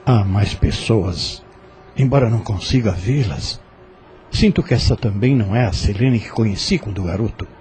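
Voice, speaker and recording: Soft, dark-toned frightened man played by an actor. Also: lowish voice, normal speed, soft background music, close to an everyday voice. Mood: fearful praying. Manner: earnest, hesitant. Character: frightened, pious young man pleading for rescue. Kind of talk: audio drama acting. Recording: clear background noise, ambience.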